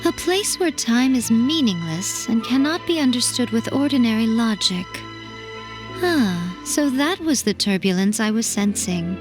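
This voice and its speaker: An actress playing a wise, self-assured fox shrine maiden in a video game, eloquent and formal with a playful edge. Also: female voice, fairly high pitch, lightly acted. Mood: thoughtful, intrigued. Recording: faint music behind. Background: faint music.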